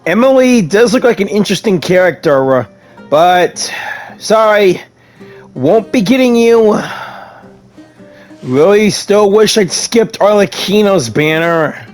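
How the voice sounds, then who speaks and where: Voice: mid-range voice, expressive, a little slow, pauses often, a little mellow, soft background music. A gamer giving casual, playful commentary while streaming and reading dialogue aloud in an informal way.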